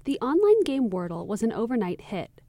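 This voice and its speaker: A woman hosting a radio show, reading a scripted intro clearly and evenly. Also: on the high side, very animated, normal speed, dark tone. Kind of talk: scripted news read.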